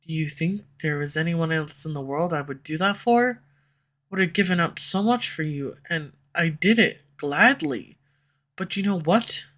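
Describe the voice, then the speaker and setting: A tsundere female villain who is torn between pride and love, her words coming out flustered and defensive before softening into tenderness, as a woman plays her. The tone is bright and forceful. It is an audio roleplay recorded close on a USB condenser microphone at home. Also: low voice, expressive, normal speed. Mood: confessing.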